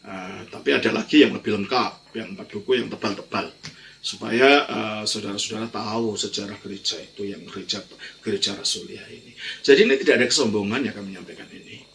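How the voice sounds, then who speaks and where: A man's voice: fairly low pitch, quick pace, a bit bright, a little hiss or hum. An older man, teaching religion as a priest in an informal question-and-answer style, emphatic and prone to repeating himself. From Indonesia. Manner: emphatic, repeats phrases, explanatory with colloquial tags.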